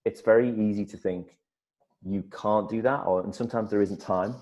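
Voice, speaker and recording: A middle-aged man, an actor, telling stories in a casual interview, lively and full of restarts. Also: lowish voice, moderate pace, dull audio. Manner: animated storyteller, many restarts and repeated words. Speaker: actor guest.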